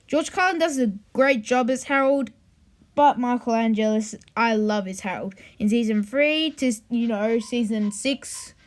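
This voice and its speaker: A video host giving informal opinion commentary in a ranking video, with conversational asides. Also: medium pitch.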